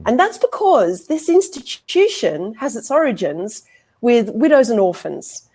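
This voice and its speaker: A middle-aged woman with an Australian accent, giving a measured law presentation over a video call with wired earbuds. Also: fairly high pitch, very animated, dark tone, husky. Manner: articulate, measured, with frequent ums. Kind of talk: conference presentation. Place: indoor home office with bookshelves.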